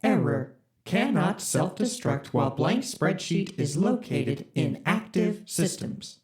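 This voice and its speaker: Deadpan corporate ship AI. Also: medium pitch, slow. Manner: flat, polite, bureaucratic. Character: deadpan corporate spaceship computer AI. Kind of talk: audio drama.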